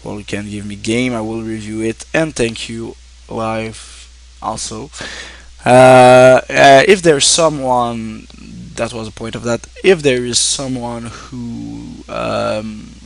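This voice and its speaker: A French-speaking man from Quebec talks to his viewers in English with a Québécois French accent. His mid-pitched voice has a gravelly fry. He stops, restarts and fills gaps often as he goes. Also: steady tone, a little hiss or hum. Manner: halting, with many fillers and self-restarts. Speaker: streamer. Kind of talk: casual thank-you talk to viewers.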